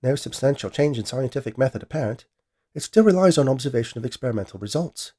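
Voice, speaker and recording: A man voicing a dispassionate, precise female AI lab assistant with an Australian accent and formal, measured delivery in a science fiction story. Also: medium pitch, animated, mellow, breathy edge.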